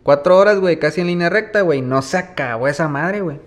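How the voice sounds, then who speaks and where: A man's voice: a little high, quick pace. A man in his thirties with a Baja California accent, telling stories in a slangy, easygoing interview and tagging nearly every phrase with "güey". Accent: northern Mexican, Baja California. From Ensenada, Baja California, Mexico. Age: 30s. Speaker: musician guest. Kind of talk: casual interview storytelling.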